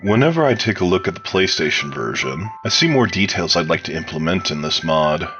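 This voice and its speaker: A man with a low-pitched voice speaks as an interview guest, explaining things in a measured and articulate way. His answers sound prepared and read out. Music plays clearly behind him. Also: expressive, dull audio. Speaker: interview guest, game modder.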